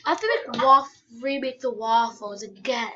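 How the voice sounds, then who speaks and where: A woman's voice: fairly high pitch, normal speed, bright, forceful tone, pitched below the performer's own voice. A young female player voicing a put-upon household maid in a roleplay game, servile and falsely sweet but exasperated and snide underneath. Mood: grumbling. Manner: exasperated, muttering asides, falsely sweet to employers. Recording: dull lo-fi game voice recording.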